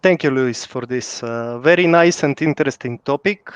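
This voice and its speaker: A man from Bulgaria with a Bulgarian accent, answering as a panel guest in halting, non-native English. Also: medium pitch, clean tone, no room sound. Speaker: panel guest, head of PMO at a bank. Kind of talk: interview answer on a work topic.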